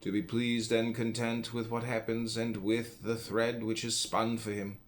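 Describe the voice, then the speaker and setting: A man reading an old philosophy book aloud in measured phrases, pausing between them. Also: lowish voice, slight background noise. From United States. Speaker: audiobook reader.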